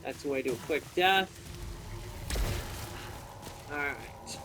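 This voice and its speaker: A man's voice: mid-range voice, lively intonation, slow, noisy background. A man giving casual gameplay commentary, thinking aloud and explaining each step to viewers. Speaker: video game player and commentator.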